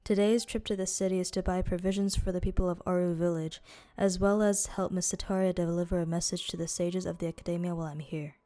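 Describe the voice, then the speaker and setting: A woman voicing a devout, courteous desert guardian in an amateur game dub, speaking in a formal, measured, advising manner. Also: lowish voice, steady tone, warm tone, faint music behind, natural voice. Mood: courteous, serene.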